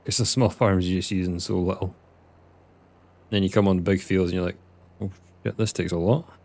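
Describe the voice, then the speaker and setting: A man's voice: deep voice, fairly even intonation, fairly quick, a little mellow. A young Scottish man from Scotland streaming a farming game, chatting casually with viewers and muttering at the game. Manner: chatty, reads chat aloud and mutters at the game. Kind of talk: casual live gameplay chat.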